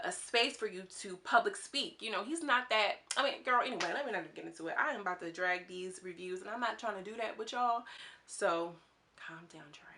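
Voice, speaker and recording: A woman from Houston with a twang, talking fast and chatting casually as she reviews a reality dating show, acting out quotes in a higher voice. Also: sharp tone. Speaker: YouTube reality TV commentator. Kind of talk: casual commentary monologue.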